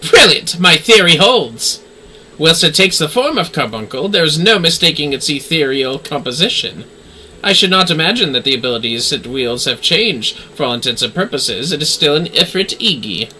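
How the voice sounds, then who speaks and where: An eager, courteous game scholar, voiced by a man, speaks in formal archaic phrases. The voice is raised and sharply bright, with animated swings of pitch over faint noise. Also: normal speed, low-quality recording. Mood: fascinated.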